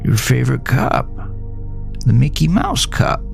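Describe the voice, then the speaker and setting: A man voicing a frail, meek and gently confused elderly father in an audio drama. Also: mid-range voice, very animated, unhurried, gravelly, faint music behind, voice pushed up, exaggerated voice. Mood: wistful, confused. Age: older. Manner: meek, vague, dismissive of concern. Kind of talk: audio drama character dialogue.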